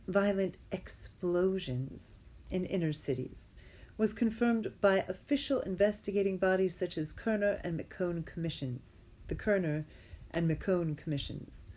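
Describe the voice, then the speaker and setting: A woman reading an academic text aloud as an amateur, haltingly, often going back to repeat a phrase and correct herself. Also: mid-range voice, mellow, low-quality recording. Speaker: reader.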